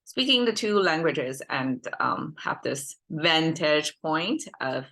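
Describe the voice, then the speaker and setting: A woman, a Mandarin speaker, hosting a language lesson in casual, thoughtful speech, pausing often with fillers and restarts. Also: low voice, very animated, a bit bright. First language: Mandarin Chinese. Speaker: host and language teacher. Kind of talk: casual teaching monologue.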